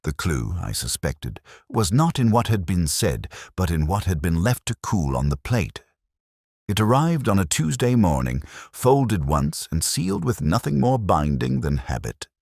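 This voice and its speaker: A man playing a brooding, reflective police inspector, narrating a literary mystery in a measured, solemn, introspective way. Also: deep voice, sing-song, vocal fry, a little mellow, husky, dry recording, studio quality, heavily acted. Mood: pensive, sombre.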